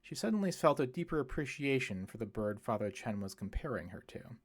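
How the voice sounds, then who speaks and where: A man's voice: medium pitch, expressive, brisk. A man reading scripted fiction as an audiobook narrator, telling the story in prose.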